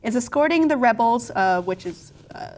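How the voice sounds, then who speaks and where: A woman's voice: a little high, lively intonation, normal speed. A woman host chatting casually and comically as she explains and introduces things.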